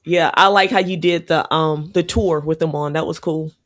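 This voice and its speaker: A woman's voice: sits low, fairly even intonation, moderate pace. A woman in her late forties hosting a podcast, chatting candidly, laughing often and swearing casually. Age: 40s. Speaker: podcast host. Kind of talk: casual chat.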